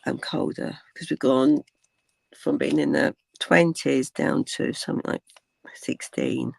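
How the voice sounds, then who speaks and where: An older woman with a croaky voice, chatting casually through a craft tutorial, rambling with many ums and dropping to a whisper while she works. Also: rumbling low, animated, measured pace, no room sound. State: croaky from cold weather. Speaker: crafting channel host.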